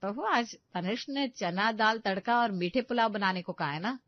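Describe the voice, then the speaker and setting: A woman's voice: medium pitch, normal speed, dull audio, lightly acted. A woman voicing a bossy, middle-aged village mother-in-law who scolds and gives instructions. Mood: scolding.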